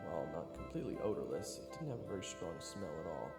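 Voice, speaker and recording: A man plays a playful young adventurer with a hugely animated, slightly gravelly voice. He narrates his tale in a theatrical, joking way, in an echoey, reverberant space with music underneath. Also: low voice, fast talker, full character voice. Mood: storytelling. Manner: theatrical, joking, conversational storytelling. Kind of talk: first-person audio drama narration and dialogue.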